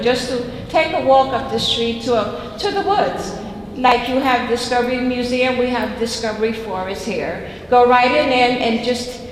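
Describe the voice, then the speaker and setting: A woman from Costa Rica speaking heartfelt and a little rambling at public comment. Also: a little high. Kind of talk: public comment at a council meeting. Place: large council chamber. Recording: echoey hall with noise.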